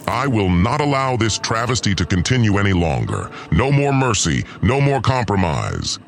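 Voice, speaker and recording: A man's voice: fairly low pitch, big pitch swings, moderate pace, vocal fry, a little hiss or hum. A man playing an arrogant, wrathful alien tyrant who believes himself a god, in a pompous, menacing audiodrama performance. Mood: seething. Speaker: narrator and lead voice actor. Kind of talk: dramatic first-person audiodrama narration.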